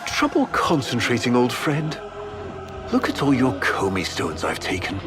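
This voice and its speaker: A man's voice: medium pitch, wildly swooping pitch, measured pace. Wildly swooping, smug male rival. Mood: gloating. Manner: mocking, theatrical. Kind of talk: sci-fi game character dialogue. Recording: music behind the voice.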